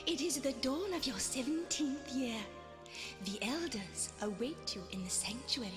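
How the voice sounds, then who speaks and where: An actress playing an ethereal messenger nymph in a fantasy game, speaking solemnly and formally. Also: female voice, high voice, lively intonation, unhurried. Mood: solemn summons. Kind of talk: scripted fantasy game voice acting. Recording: loud music behind, old game audio.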